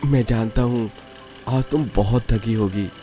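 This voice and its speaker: A man playing an apologetic, loving young husband in a cartoon story, pleading softly. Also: mid-range voice, measured pace, faint music behind, natural voice. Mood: tender. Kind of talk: Hindi cartoon story dialogue.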